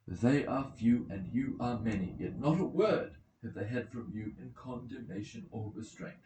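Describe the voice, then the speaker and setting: A man's voice: mid-range voice, lively intonation, moderate pace, dark tone, slight background noise, voice pushed up, natural voice. A man voicing an earnest, scolding old nobleman giving an emphatic speech in an audiobook of an epic. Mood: indignant. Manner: emphatic, declamatory. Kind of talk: dramatic audiobook character reading. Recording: roomy echoing home recording.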